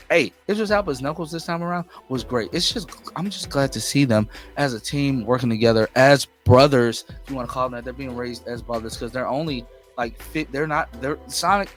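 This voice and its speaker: A man's voice: mid-range voice, a bit bright. A man hosting an informal, unscripted movie review, speaking enthusiastically and emphatically and repeating phrases to stress his points. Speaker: movie reviewer and channel host. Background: background music.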